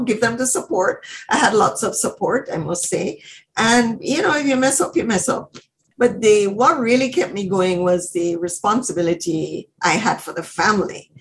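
A middle-aged woman from Jamaica speaks as a podcast guest, confident and animated as she tells her story. Her pitch sits in the middle. A faint hiss runs under the voice. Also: low-quality recording. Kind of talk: podcast interview.

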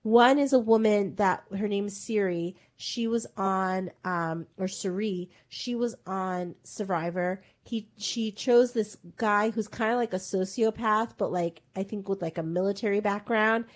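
A woman in relaxed podcast conversation, mid-pitched. She rambles through a story with constant fillers and restarts. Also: measured pace, few pauses. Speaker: podcast co-host. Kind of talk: casual pop-culture chat.

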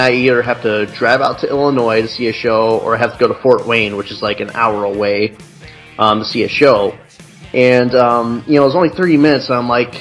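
A man's voice: medium pitch, fairly bright tone. Profane, rambling man telling concert stories. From Chicago area. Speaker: podcast co-host. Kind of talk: casual chat.

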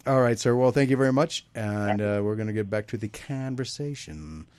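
A man hosts a live broadcast at a middle pitch, chatting loosely and laughing between bits of news. The recording is dry, with little room sound. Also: moderate pace, a little mellow, clean tone, no echo. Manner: chatty, laughs often, many fillers. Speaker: host. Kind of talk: casual live broadcast chat.

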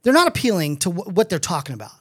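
A man in his fifties chats as a podcast co-host with very lively swings in pitch. He rambles through blunt opinions and swears freely. The sound is close and dry. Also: very fast, breathy edge, dry recording. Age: 50s. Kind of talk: casual comedic chat.

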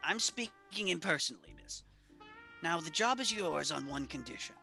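A man playing an awkward, blunt professor in a radio play, speaking in a formal, halting way. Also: fairly high pitch, animated, gravelly, bright, forceful tone, soft background music, exaggerated voice. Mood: blunt, irritated. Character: awkward, blunt male professor, wary of women. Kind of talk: old-time radio play acting.

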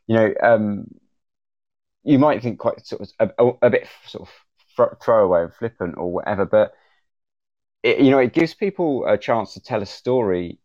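Low-voiced man in a dull, echoing room. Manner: halting, repeats and hedges softly. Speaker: podcast host, panelist. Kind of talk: casual panel talk. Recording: dull, roomy, echoing audio.

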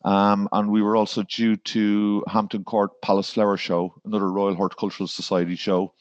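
A man's voice: lowish voice, little pitch movement, moderate pace, dry recording, highs cut off. An Irish man, a garden designer, talking in a podcast interview and rambling with fillers and restarts. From Ireland.

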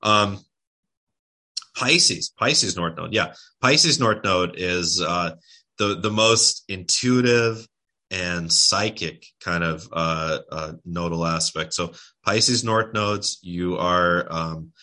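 A man's voice: deep voice, animated, takes it slowly, bright, forceful tone. A tired man talking casually as a guest on a livestream, rambling and restarting his words with lots of fillers. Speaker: spiritual guest speaker.

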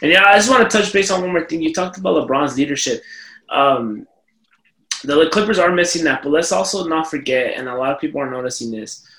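A man's voice: a little high, fairly quick. Laid-back man in a roomy echo. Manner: relaxed, filler-heavy, hesitant. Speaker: podcast co-host. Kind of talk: casual sports chat.

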